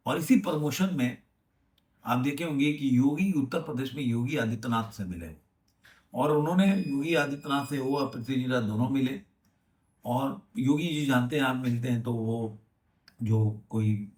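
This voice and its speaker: A man giving unscripted film news commentary, halting and repeating himself as he rephrases. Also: medium pitch. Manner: halting, repetitive, rephrasing as he goes. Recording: echoey room, distant microphone.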